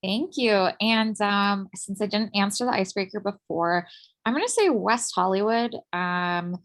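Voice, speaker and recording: Gravelly-voiced woman giving a casual update. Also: mid-range voice, measured pace. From Ukraine. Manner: relaxed, many uh and um fillers. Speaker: steering committee member. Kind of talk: casual online meeting update.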